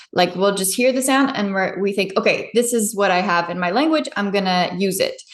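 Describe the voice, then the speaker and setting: A woman pronunciation coach explaining her methods in a chatty, conversational way. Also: mid-range voice. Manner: chatty, explanatory, laughs easily. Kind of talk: conversational interview. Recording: roomy echo.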